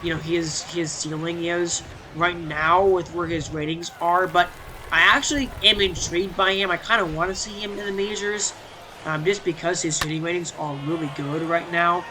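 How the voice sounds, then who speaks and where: A man's voice: sits high, moderate pace, noisy background. Congested young man commentating a game. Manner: chatty, many fillers and restarts, excited on big plays. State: stuffy, congested. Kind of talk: casual play-by-play commentary.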